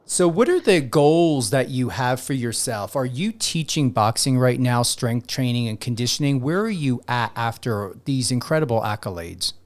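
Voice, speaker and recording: A man hosting a radio talk show, steering the guests with questions. He pauses with small fillers as he goes. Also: medium pitch, animated. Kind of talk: interview questions.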